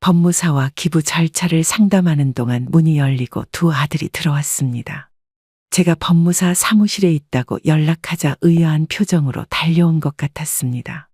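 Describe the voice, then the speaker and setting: Husky woman narrating a story calmly. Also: low-pitched, moderate pace, no room sound, studio quality. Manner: calm and reflective. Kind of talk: scripted first-person story narration.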